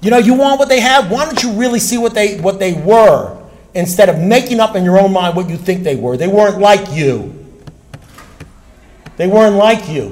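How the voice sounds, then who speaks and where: A man with a high voice delivers an impassioned recovery talk to a crowd of listeners. He is blunt and emphatic, circling back to the same points and swearing now and then without apology. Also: darkish tone. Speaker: speaker at a recovery meeting.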